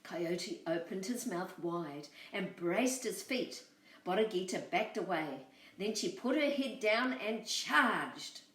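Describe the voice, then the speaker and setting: A woman's voice: mid-range voice, expressive, takes it slowly, a bit bright, echoey room, recorded from a distance, rough recording. A woman, hosting a story time and reading a storybook expressively to children.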